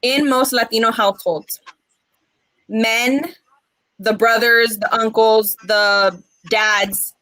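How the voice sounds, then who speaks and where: A young woman in her twenties, from Mexico and raised in the States, talks earnestly as a guest and stops often on "um". Her voice is bright and sits close and dry, with no room sound around it. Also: a little high, fairly even intonation, no echo, rough recording. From Mexico, raised in the United States. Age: 20s. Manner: earnest, many "um" pauses. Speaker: guest poet. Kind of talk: casual interview chat.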